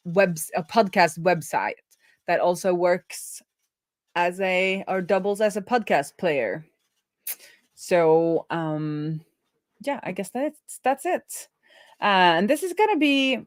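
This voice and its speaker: A woman's voice: medium pitch, no echo, studio quality. A woman hosting a live coding stream in casual chat, informally thinking aloud as she explains. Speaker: live stream host, web developer.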